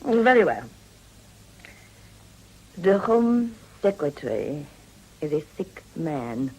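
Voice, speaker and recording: A woman's voice: low-pitched, very animated, takes it slowly, darkish tone, husky, heavily acted, rough recording. An actress playing a worldly, sly older society hostess and mother in a period radio drama, with an arch, gushing manner. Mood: coy, mercenary.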